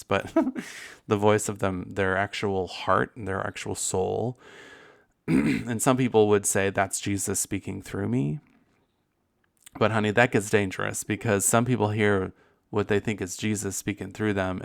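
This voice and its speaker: A man's voice: on the low side, creaky, a bit bright, smooth. A man hosting a podcast, talking in a candid, profane and campy way with laughing asides. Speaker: podcast host. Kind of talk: casual solo podcast monologue.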